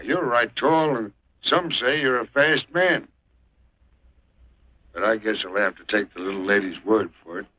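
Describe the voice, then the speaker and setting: An actor playing a shrewd, weathered old American marshal in a 1940s western film, with a folksy, deliberate, drily menacing way of talking. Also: male voice, medium pitch, slight background noise, natural voice. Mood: dry, probing. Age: older. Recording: dull muffled old film soundtrack.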